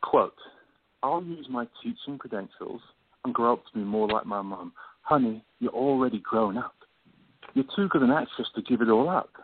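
A man's voice: medium pitch, lively intonation, moderate pace, slight background noise, natural voice. A man acting a sarcastic, protective young best friend in an amateur radio play, teasing and exclamatory. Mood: pleading. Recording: muffled, thin phone-line audio.

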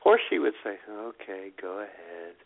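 A gravelly man teasing his co-host in an unhurried, drawn-out way. His pitch swings widely from word to word. The sound is narrow and tinny, like a phone line. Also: big pitch swings. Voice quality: gravelly, teasing, self-described optimist. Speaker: podcast co-host. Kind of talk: casual comedy chat.

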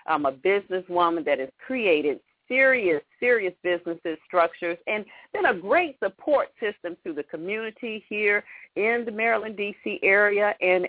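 A woman's voice: medium pitch, no room sound. A woman hosting a radio interview show, gushing with enthusiasm and praise as she welcomes her guest. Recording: tinny phone-line sound.

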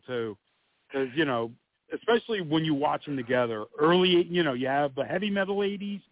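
A man co-hosting a casual podcast chat, rambling and laughing often, swearing freely, his voice going hoarse. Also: on the high side, dry recording. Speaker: co-host. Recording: tinny phone-like line, dry.

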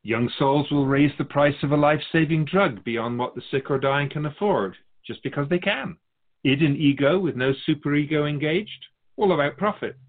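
A man speaking as a spiritual guide, explaining things in a measured, didactic, conversational way. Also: fairly high pitch, narrow, tinny audio.

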